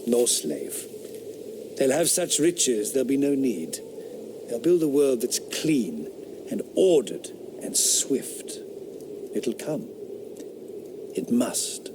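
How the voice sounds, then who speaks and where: A man's voice: mid-range voice, very animated, slow, pauses often, background noise. An actor playing a scornful, lecturing rationalist philosopher man in a period radio drama, sneering at his host and sinking into intense whispers. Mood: visionary.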